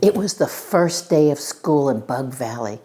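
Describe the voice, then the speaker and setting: An older woman reading a storybook aloud to children, narrating expressively and dramatically, with hushed words and direct questions. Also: extremely low pitch, lively intonation, unhurried, a little hiss or hum.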